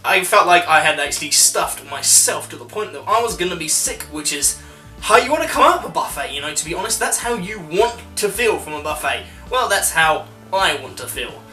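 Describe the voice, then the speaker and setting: A man's voice: on the high side, expressive, a little fast, sharp tone. A man giving a chatty, conversational voice-over review as a vlogger, working through his points informally. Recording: roomy echo, music underneath.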